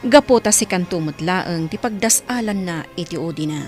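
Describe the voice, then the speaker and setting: A woman's voice: lowish voice, expressive, normal speed, darkish tone. A woman reading scripted narration as a moral reflection. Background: music.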